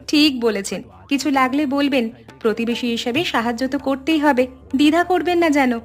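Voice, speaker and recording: A woman plays a helpful, neighbourly tea seller in a cartoon story. Her voice is fairly high, and she speaks politely and in a friendly way. Music plays softly behind her. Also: normal speed, lightly acted. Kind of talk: animated story dialogue.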